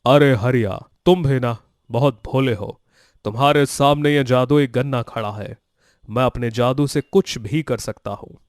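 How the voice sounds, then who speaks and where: A man's voice: medium pitch, a little slow, dry recording, clean studio sound, close to an everyday voice. A man voicing a magical talking sugarcane in a children's story, confident, boastful and reassuring. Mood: proud, showy. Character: magical talking sugarcane, helpful, boastful, kindly male creature. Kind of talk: dramatized Hindi moral story for children.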